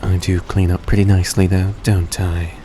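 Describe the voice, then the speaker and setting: A man playing a suave, tender centuries-old vampire in a roleplay audio drama, speaking in a soft, coaxing, genteel way to the listener. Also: sits low, normal speed, close to an everyday voice. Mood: solemn storytelling. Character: suave, gracious centuries-old male vampire, young-looking, tender and grateful. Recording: steady background noise, vehicle-like ambience.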